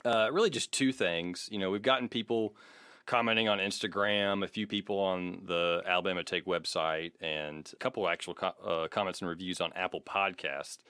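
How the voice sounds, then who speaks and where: A man's voice: deep voice, fairly even intonation, moderate pace, pushed and bright, smooth, dry recording, studio quality. A man co-hosting a podcast in a casual, chatty, self-deprecating way, explaining things in an informal, rambling style. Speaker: podcast co-host.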